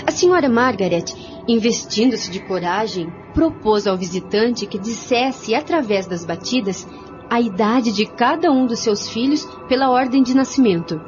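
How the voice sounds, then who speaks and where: A woman's voice: fairly high pitch, expressive, normal speed. A woman narrating a scripted radio drama in a formal storytelling manner. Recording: muffled radio recording with music.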